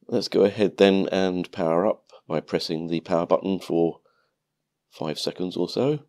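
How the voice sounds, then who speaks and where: A man narrating a calm step-by-step tutorial, sounding nasal from a cold. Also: deep voice, normal speed. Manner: calm, methodical explaining. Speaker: maker channel host.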